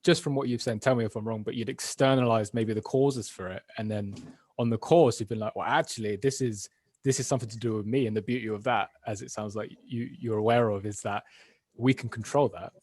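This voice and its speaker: Soft-spoken, gentle male interviewer. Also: lowish voice, steady tone, a little fast, dry recording. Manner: soft, gentle, encouraging, hesitant phrasing. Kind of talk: supportive interview.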